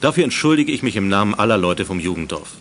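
A man's voice: on the low side, big pitch swings, fast talker, fairly bright tone, a little hiss or hum. A man playing a stern but fair middle-aged director of a youth village in a children's radio drama, speaking formally and with authority. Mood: apologetic, reassuring. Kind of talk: 1980s German children's radio drama acting.

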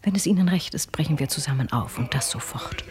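An actress playing a haughty, commanding marquise in a radio drama, speaking in a measured, imperious and aristocratic way. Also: female voice, low-pitched, in a whisper, lightly acted. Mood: cutting, insistent. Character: haughty, commanding Parisian marquise, scornful and cutting. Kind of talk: literary radio drama acting.

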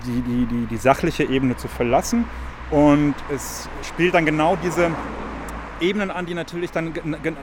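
Halting man commenting amid loud street noise. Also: a little high. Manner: halting, repeats words, argumentative. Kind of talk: spontaneous interview commentary. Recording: noisy outdoor street with traffic.

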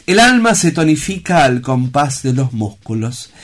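A man's voice: medium pitch, very animated, a little slow. A man playing an earnest, lyrical sanatorium director in a radio play, speaking in a solemn, eloquent, declamatory way. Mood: fervent, lyrical. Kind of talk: theatrical radio play acting.

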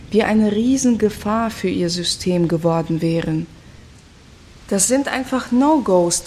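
An actress as an embittered woman from a lost rural commune, speaking in a reflective radio play monologue. Her voice sits at a middle pitch, with faint rumbling noise behind it. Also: darkish tone, close to an everyday voice.

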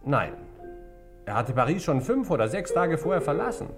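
A wry bar owner, swooping intonation. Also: male voice, fairly high pitch, very animated, moderate pace, music in the background. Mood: evasive. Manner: evasive, sardonic, offhand answers. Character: cagey, wry male bar owner with shady past. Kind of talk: German radio crime drama acting.

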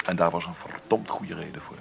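An actor in a radio play as a wealthy, bitter middle-aged businessman, gravelly, sardonic and condescending in a deliberate way. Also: sits low, background noise. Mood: curt, resentful. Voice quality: gravelly, creaky.

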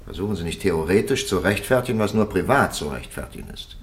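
An actor playing a stern, polished interrogator in a 1950s German radio play, formal, measured and insistent. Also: male voice, low voice, lively intonation. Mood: weary pleading. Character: stern, polished male interrogator pressing a silent prisoner.

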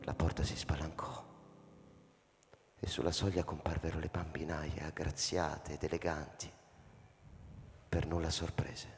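An actor playing a pensive, weary old man who narrates his story slowly and haltingly in a dramatic radio reading. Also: a bass voice, little pitch movement. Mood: reflective. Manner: slow, halting, introspective. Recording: long reverb, loud piano music behind.

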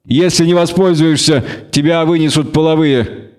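A man's voice: a little high, steady tone, normal speed, darkish tone, clean tone, pitched above the performer's own voice, lightly acted. A man voicing a taunting, smug gang leader in an audiobook, commanding and mock-friendly. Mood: bossy, mocking. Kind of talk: audiobook character acting.

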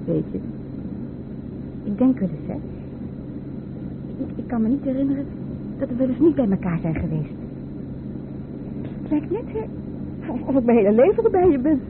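Playful dreamy young girlfriend in a radio play, teasing, swoopy high voice, tinny. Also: sing-song, normal speed, long pauses. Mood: wistful, tender. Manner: teasing, informal, chatty. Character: playful, dreamy, affectionate young woman, a man's sweetheart. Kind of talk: naturalistic radio play dialogue. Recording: tinny, very noisy old radio play recording.

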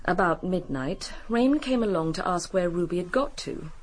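An actress playing a composed, genteel, guarded British widow in a radio drama. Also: female voice, on the low side, expressive, normal speed. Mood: guarded, measured.

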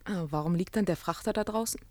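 An actress playing a curious, outspoken woman adventurer in a German science fiction radio drama, with a direct manner and slightly husky voice. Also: on the low side, quick pace, a little mellow, no room sound, lightly acted. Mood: curious, puzzled. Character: curious, outspoken female adventurer with biology training.

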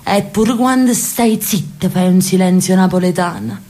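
An actress as a coaxing elderly sister. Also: female voice, on the low side, normal speed, warm tone, close to an everyday voice. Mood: fond, insistent. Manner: coaxing, insistent. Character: elderly Neapolitan sister, insistent and affectionate. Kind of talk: radio drama acting.

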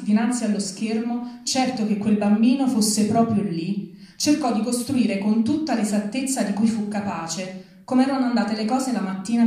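A woman's voice: medium pitch, mellow. A woman reading a literary story aloud at a public reading. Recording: very echoey hall, from far off.

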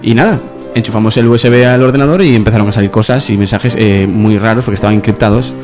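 A man with a low voice co-hosts casual radio banter over music. He talks quickly and repeats phrases for emphasis. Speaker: radio show co-host.

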